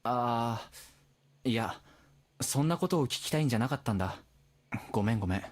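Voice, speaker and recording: A man's voice: medium pitch, lively intonation, racing, lightly acted. An actor playing a probing but polite and apologetic male investigator in a Japanese visual novel.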